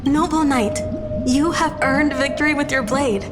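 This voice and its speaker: An actress playing a gracious, admiring banquet guest in a game, with hall reverb. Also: female voice, high-pitched, measured pace. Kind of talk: dramatic game voice acting. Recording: hall reverb, loud music.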